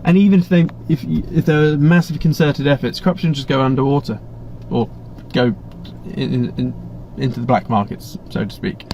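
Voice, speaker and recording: A man's voice: medium pitch, steady tone, moderate pace, dark tone, slight background noise. An English man hosting a casual live stream, chatty and rambling, with blunt asides and many restarts. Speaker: livestream host. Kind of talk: casual live Q&A, reading viewer chat. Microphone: webcam setup. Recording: slightly muffled stream audio.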